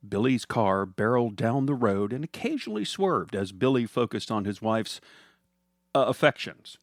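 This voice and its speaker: A man's voice: mid-range voice, expressive, moderate pace, no echo, clean studio sound. A man narrating a scripted comedic story as a storyteller.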